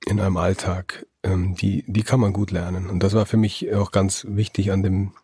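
A man's voice: sits low, little pitch movement, normal speed, mellow, breathy edge, no echo, studio quality. A man, a novelist answering interview questions thoughtfully, often restarting his words. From Germany. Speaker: novelist guest. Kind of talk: reflective interview talk.

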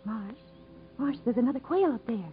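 An actress playing an earnest, cautious young country sweetheart in a 1950s American radio drama, gently pressing and a little hesitant. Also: female voice, fairly high pitch, expressive, normal speed, lightly acted. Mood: earnest, gentle. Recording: dull 1950s radio broadcast recording.

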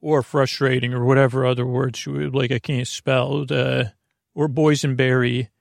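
A man with a noticeably creaky, gravelly voice hosts a sleep podcast. He wanders from tangent to tangent, halting and stammering as he goes. The sound is close and very dry, with hardly any room around it. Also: mid-range voice, little pitch movement, no room sound. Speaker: podcast host. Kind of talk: rambling bedtime sleep podcast monologue.

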